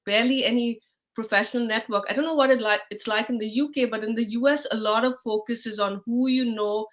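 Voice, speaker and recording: A woman's voice: a little high, fairly even intonation, fast talker, fairly bright tone. A middle-aged woman from India with an Indian accent, hosting a live online interview in a chatty way, full of fillers and restarts. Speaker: host.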